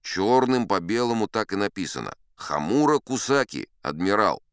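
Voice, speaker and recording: An actor playing a boastful, seasoned sea captain, telling tall tales in a wry, conversational audiobook style with dramatic pauses. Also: male voice, on the low side, sing-song, clean tone, no room sound, studio quality. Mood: wry, matter-of-fact. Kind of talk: theatrical first-person audiobook storytelling.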